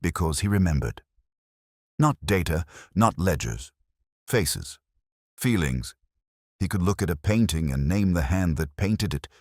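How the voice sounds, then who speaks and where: A man voicing a brooding, cerebral gentleman detective in an audiobook, grave and deliberate. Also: low voice, lively intonation, no room sound, studio quality. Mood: grave, pensive. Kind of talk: audiobook character reading.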